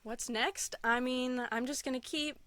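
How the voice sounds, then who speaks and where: Clear-voiced, chatty young woman. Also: high voice, steady tone, a little slow, fairly bright tone, no room sound. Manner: chatty, enthusiastic, often giggling mid-sentence. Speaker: interview guest, content creator. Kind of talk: casual chat, answering interview questions.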